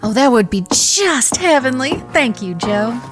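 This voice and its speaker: A woman's voice: on the high side, sing-song, a little slow, over music. A woman playing a self-assured, folksy young frontier woman with genteel, emphatic phrasing in a western audio drama. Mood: cheerful, gracious.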